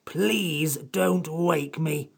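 Gravelly, slow, haughty sand fairy. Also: male voice, a little high, voice pushed up, heavily acted. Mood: huffy. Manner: slow, withering, pompous drawl. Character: grumpy sarcastic old sand fairy creature. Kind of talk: audiobook character voice.

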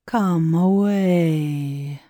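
A woman's voice: sits low, very slow, dark tone, no room sound, studio quality. A woman playing a grave ancient Egyptian male priest in a dramatised storybook reading, speaking with formal, archaic solemnity. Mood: urgent, commanding.

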